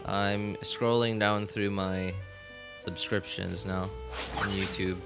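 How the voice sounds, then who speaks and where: A man chatting casually as he streams a game, rambling and halting as he thinks aloud. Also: sits low, flat delivery, gravelly, music in the background. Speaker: live streamer. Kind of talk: casual gaming stream chat.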